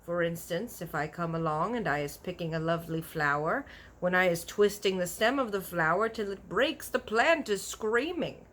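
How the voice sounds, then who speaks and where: A woman's voice: on the low side, lively intonation, normal speed, a bit bright. A woman voicing a kindly, big-eared storybook giant who is earnest, proud and easily offended, talking in folksy broken grammar with invented words. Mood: emphatic. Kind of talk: animated children's storybook character voice.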